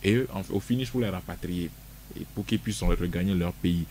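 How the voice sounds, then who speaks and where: A man's voice: low-pitched, brisk, darkish tone, a little hiss or hum. A man, a guest on a radio discussion show, speaking emphatically and often repeating words as he restarts. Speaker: studio guest.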